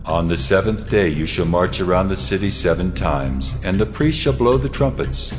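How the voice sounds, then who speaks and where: A man's voice: low-pitched, close to an everyday voice. An actor playing God in an audio Bible drama, majestic and authoritative as he gives solemn orders. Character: God, solemn almighty male divine being giving orders. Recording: long hall reverb, music behind.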